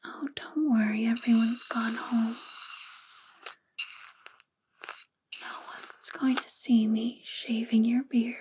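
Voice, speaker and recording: A woman playing a possessive, doting female boss in a soft-spoken ASMR roleplay, breathy and mostly whispered, intimate and coaxing, close to the microphone. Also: fairly high pitch, little pitch movement, measured pace, a little hiss or hum, close to an everyday voice. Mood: soothing, attentive.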